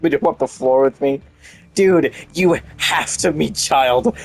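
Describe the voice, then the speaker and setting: A woman casually commenting on a game she is playing, excitable, slangy and rambling. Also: sits low, a little slow, creaky, a little hiss or hum. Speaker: streamer playing and reacting.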